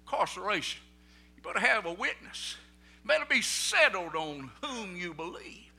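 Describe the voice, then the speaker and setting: A middle-aged man with a Southern American accent preaching a sermon, telling folksy stories in plain vernacular and building up to loud preaching peaks. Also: sits high, sing-song, normal speed, creaky, breathy edge. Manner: folksy storytelling, rising to shouted preaching peaks.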